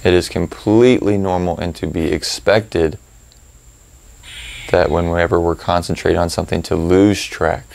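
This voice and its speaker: A man leading a guided meditation as a teacher, calm and unhurried, leaving long pauses as he gives instructions. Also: low-pitched, vocal fry, a little hiss or hum.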